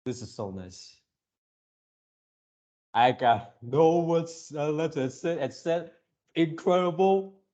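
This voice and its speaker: A man hosting a casual fan reaction video, gushing excitably in halting, drawn-out phrases. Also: a little high, highs cut off.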